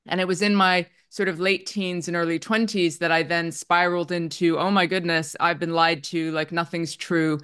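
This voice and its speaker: A woman's voice: fairly low pitch, flat delivery, moderate pace, hardly any pauses, a bit bright, clean tone. A Dutch-born woman in her forties with a slight Canadian accent, openly telling her life story on a video call as a psychotherapist. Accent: somewhat Canadian. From Netherlands. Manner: open, reflective, with fillers like "um" and "like". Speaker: guest, psychotherapist.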